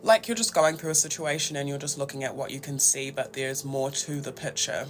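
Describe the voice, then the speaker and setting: A man with a New Zealand accent giving a casual, conversational tarot reading in a reflective, halting way. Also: mid-range voice, steady tone. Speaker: tarot reader and host.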